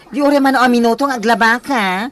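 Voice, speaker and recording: A pert manicurist played by an actress with a fairly high voice and an Ilocano accent. She complains freely, in the manner of radio comedy drama. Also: fast, crowd noise, natural voice.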